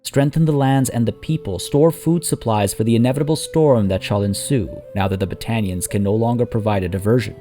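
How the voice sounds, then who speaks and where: A man voicing a warlord's gentle, earnest beloved in a fantasy story, giving counsel in a soft, formal, imploring way. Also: fairly low pitch, brisk, hardly any pauses, faint music behind, natural voice. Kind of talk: fantasy audio story character.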